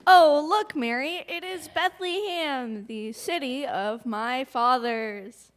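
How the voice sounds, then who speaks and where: A woman as a high, earnest little schoolboy. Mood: stiff, earnest. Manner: stilted, halting recitation. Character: earnest little schoolboy stiffly reciting pageant lines. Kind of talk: live comic stage drama.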